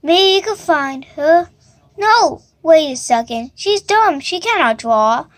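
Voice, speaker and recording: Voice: sits high, animated, slow pace, voice pushed down. A young female amateur voicing an insecure, clingy cartoon unicorn critter in a whiny, pleading, melodramatic way. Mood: distraught, pleading. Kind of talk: amateur character voice acting.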